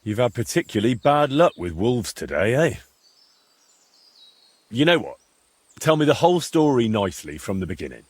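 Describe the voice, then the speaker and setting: Blunt, husky young medieval adventurer. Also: male voice, medium pitch, very animated, normal speed, pauses often, no room sound. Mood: probing. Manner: blunt, questioning. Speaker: video game protagonist. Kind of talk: game cutscene dialogue.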